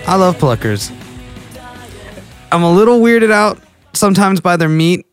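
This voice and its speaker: A Texan man in his late twenties rambles along in a loose, goofy monologue, often breaking off his own sentences. His voice sits fairly high for a man and sounds smooth and clean. The recording is dry and close, with no room sound. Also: animated, a little slow, no room sound, faint music behind. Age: late 20s. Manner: goofy, rambling, self-interrupting.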